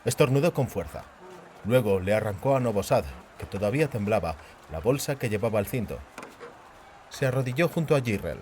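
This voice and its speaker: A man's voice: on the low side, animated, fairly quick, a little mellow, a little hiss or hum. A man narrating fantasy fiction as a dramatic, scripted audiobook. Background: background music.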